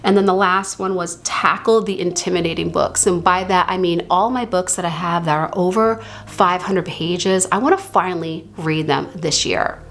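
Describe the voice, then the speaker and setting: A woman casually talking through her reading journal on her channel, her voice still slightly hoarse from a recent illness, chatty and stretching out words she wants to stress. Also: lowish voice, fairly even intonation, a bit bright, slight background noise. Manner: chatty, drawn-out emphasis on words she likes.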